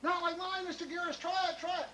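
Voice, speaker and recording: Storyteller acting an excitable, pleading storybook baker, pitched high. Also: quick pace, slight background noise. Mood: excited, pleading. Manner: excitable, pleading, repeats himself. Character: John, eager timid baker-farmer man, excitable and pleading.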